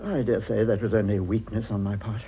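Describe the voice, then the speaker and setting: An actor playing an earnest, self-sacrificing English politician husband in a radio drama, speaking formally with hesitant, measured delivery. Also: male voice, on the low side, level tone, breathy edge. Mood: earnest, restrained. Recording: muffled, dull radio drama recording.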